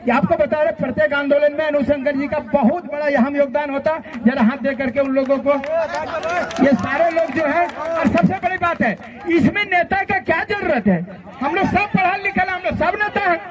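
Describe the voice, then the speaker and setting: A man's voice: very high voice, moderate pace. A man with a Jharkhand accent gives a colloquial, impassioned political speech to students, addressing them directly as a student leader. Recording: noisy outdoor rally, loud crowd behind.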